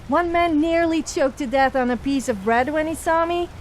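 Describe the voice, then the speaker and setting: A woman's voice: sits high, normal speed, a little hiss or hum, natural voice. A woman voicing a cheeky, mischievous, flirtatious adventuring companion in a fantasy video game. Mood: gleeful, boastful.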